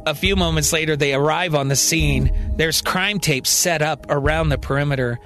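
A man's voice: on the high side, moderate pace, fairly bright tone. A man narrating a scripted science-fiction story as a dramatic podcast storyteller. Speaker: storyteller, podcast writer and performer. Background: music.

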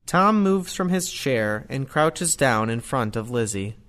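A man's voice: mid-range voice, animated, a little slow, a bit bright. A man giving scripted narration of stage directions as the narrator of an audio play.

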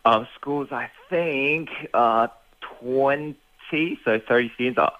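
A man calling in as a guest, explaining his project hesitantly with many pauses and fillers. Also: medium pitch, no room sound, highs cut off.

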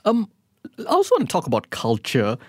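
A man's voice: sits high, huge pitch swings, fairly quick, slightly rough, no room sound. A man hosting an interview, putting questions to a guest in a conversational way. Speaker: interviewer, host.

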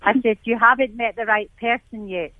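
A woman's voice: on the high side, fairly even intonation, normal speed, no echo. Woman medium on a tinny phone line. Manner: motherly, calls callers dear. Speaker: psychic medium guest. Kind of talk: call-in reading over the phone.

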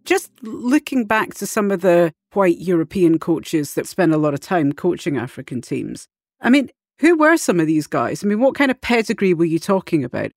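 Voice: mid-range voice, big pitch swings, normal speed, no echo. A host narrating a scripted report and putting questions to a guest.

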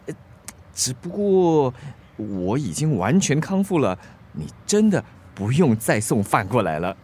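An actor playing a teasing but hesitant young bachelor in a radio drama, halting at times. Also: male voice, medium pitch, very animated, a little mellow. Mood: awkward. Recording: clear ambient background noise.

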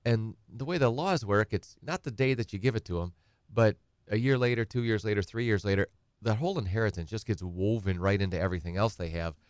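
A man talks casually in a fairly low voice. He rambles through examples and slips into clients' voices to ask their questions. The sound is dry and close. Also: fairly quick, no room sound. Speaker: estate planning attorney guest. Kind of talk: conversational talk show explanation.

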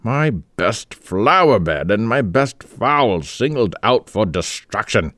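A man reading a story aloud, voicing an irritable country gentleman in a blustering, indignant manner. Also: lowish voice, sing-song, slow, no room sound, pitched above the performer's own voice, exaggerated voice.

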